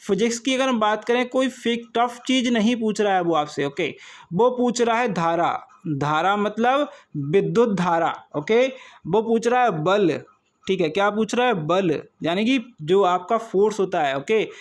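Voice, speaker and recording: A high-voiced man works through an informal exam coaching lesson. He explains step by step, often repeating himself and posing small questions that he answers himself. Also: unhurried. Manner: repetitive explaining, checking with short tags and rhetorical questions.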